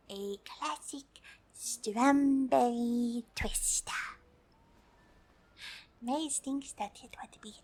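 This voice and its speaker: A friendly, excitable alien played by a woman whispers through an ASMR roleplay. The voice is breathy and fairly high, moving extremely slowly. The phrasing is oddly formal and hesitant, like an eager visitor carefully addressing humans. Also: exaggerated voice. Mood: delighted, soothing, then excited, gentle. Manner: hesitant, formal, third-person self-reference with frequent uh.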